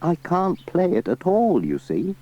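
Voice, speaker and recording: A man's voice: high voice, wildly swooping pitch, a little slow, voice pushed up, exaggerated voice. Man as a mild, hesitant village postman, lilting. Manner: hesitant, apologetic. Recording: muffled, hissy cassette transfer.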